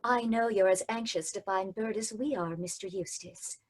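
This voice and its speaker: A woman's voice: mid-range voice, moderate pace, natural voice. A woman playing the hero's spirited young companion in an old-time radio drama. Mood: wry.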